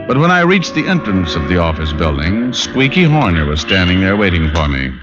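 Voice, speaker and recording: An American actor playing a dry, wisecracking hard-boiled private detective in old-time radio style. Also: male voice, low-pitched, sing-song, fairly quick. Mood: brooding, wry. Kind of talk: 1940s-50s radio detective acting, first-person narration. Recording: dull old radio recording with music.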